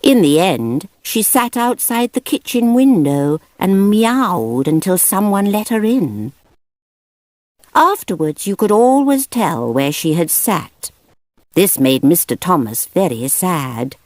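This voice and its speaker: A woman narrating a children's picture book aloud in an expressive storytelling manner. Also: fairly low pitch, slow, dry recording.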